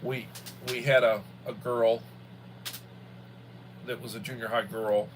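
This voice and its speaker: A man in his sixties talks off the cuff in a casual livestream style at a middling pitch. His delivery is folksy and halting, often circling back to repeat a phrase. A light background hum runs under the voice. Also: flat delivery. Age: early 60s. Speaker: livestream host, school worker. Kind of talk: casual rambling livestream chat.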